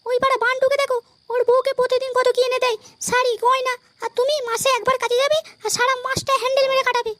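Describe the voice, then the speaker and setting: A man voicing a bossy, sharp-tongued young wife in a comedy cartoon, with a pitched-up filter, scolding and domineering. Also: little pitch movement, quick pace, few pauses. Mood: nagging. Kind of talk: amateur comedy cartoon voice acting.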